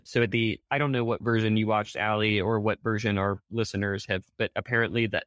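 A man co-hosting a podcast in casual chat, excitable and full of fillers, his voice jumping up when he gets outraged. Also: fairly low pitch, no echo. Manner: many fillers and restarts. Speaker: podcast co-host. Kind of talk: casual chat about a TV episode.

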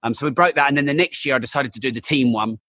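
A man being interviewed as an entrepreneur guest, talking in an energetic, casual way with quick restarts. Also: medium pitch, no echo, studio quality. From England. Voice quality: voice still not fully recovered.

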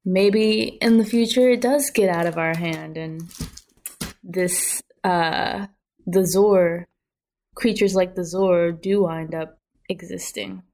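A woman's voice: lowish voice, fairly even intonation, slow pace, darkish tone. A woman speaking as an interview guest in a casual, conversational discussion.

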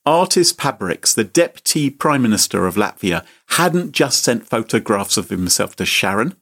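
A man's voice: big pitch swings, normal speed, a bit bright. A man hosting a chat show, telling a comic story with theatrical mock outrage. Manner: mock-indignant, theatrical, sweeping pitch. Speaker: podcast host.

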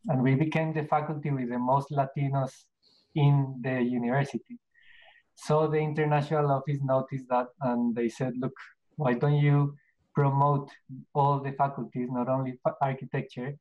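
A middle-aged Colombian man with a Spanish accent tells his story in a relaxed interview. He speaks in stops and restarts, padding his sentences with fillers. His voice sits at an ordinary pitch, and the recording sounds a little dull and lo-fi. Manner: halting, many restarts and fillers. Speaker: podcast guest, business owner. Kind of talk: casual interview answers.

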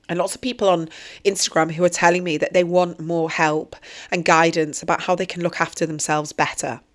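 A hoarse woman, earnestly introducing her podcast as host and coach. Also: on the low side. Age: 40s. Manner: earnest, reflective, personal. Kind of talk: solo podcast trailer monologue.

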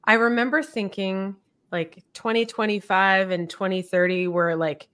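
A woman's voice: mid-range voice, steady tone, unhurried. An upbeat woman hosts an interview podcast and chats casually with her guest. She talks in an effusive, gushing way, full of enthusiasm. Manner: upbeat, effusive, warm thanks. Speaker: podcast host.

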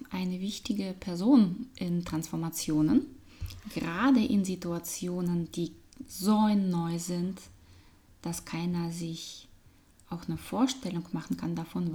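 A woman from Russia with a Russian accent, a business coach talking as a podcast guest, thoughtful and soft-spoken, sometimes dropping to near-whispers. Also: fairly low pitch. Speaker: business trainer, change consultant and coach.

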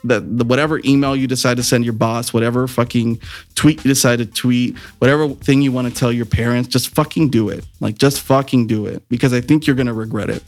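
A man's voice: mid-range voice, steady tone, a little fast, soft background music. A man co-hosting a casual podcast, laughing often and swearing freely, turning earnest when serious. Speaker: podcast co-host. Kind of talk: casual chat.

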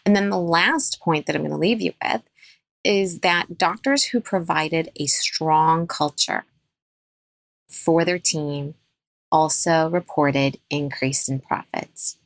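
A woman's voice: low voice, takes it slowly, gravelly, no room sound. A woman dentist, a guest on a podcast interview, giving firm and emphatic advice.

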